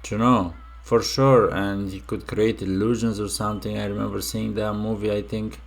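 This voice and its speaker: A man's voice: on the low side, level tone, moderate pace, vocal fry. A young man from Romania hosting a casual reaction video, musing aloud with many fillers and restarts.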